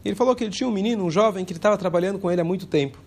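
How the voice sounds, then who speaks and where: A man, hoarse from a cold, giving an informal religious lesson in a conversational way and pausing now and then to search for words. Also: high-pitched.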